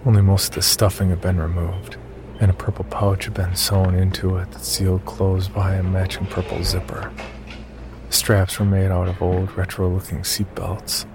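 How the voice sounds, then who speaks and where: A man with a low, creaky voice narrates a scripted horror story in the first person. His storytelling is measured, with clear night insect noise behind him. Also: monotone.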